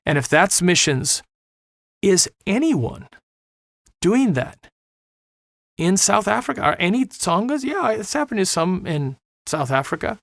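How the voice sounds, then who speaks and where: A man's voice: high-pitched, very animated, measured pace, gravelly, long pauses, fairly bright tone, dry recording, clean studio sound. A middle-aged missionary man in an earnest podcast conversation, talking with preacher-like passion and repeating words as he drives points home. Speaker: missionary guest.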